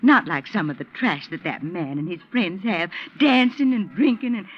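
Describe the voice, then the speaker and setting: An actress playing a gossipy, snobbish older widow who chatters on and on, in 1950s radio drama acting. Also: female voice, medium pitch, expressive, husky. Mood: gossiping. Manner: chattering, prim, rambling on without pause. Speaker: neighbor being questioned. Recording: muffled old radio broadcast.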